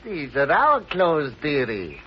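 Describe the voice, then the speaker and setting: High, drawling, roguish deserter. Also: male voice, huge pitch swings, slow, heavily acted. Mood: coaxing. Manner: wheedling, drawling. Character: roguish, flirtatious army deserter in colonial America. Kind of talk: 1950s radio drama acting. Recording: dull vintage radio recording, faint noise.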